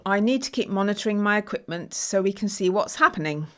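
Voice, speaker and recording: A woman playing a calm, precise scientist matter-of-factly predicting disaster in an amateur audio play. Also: mid-range voice, close to an everyday voice. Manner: precise, measured explanation.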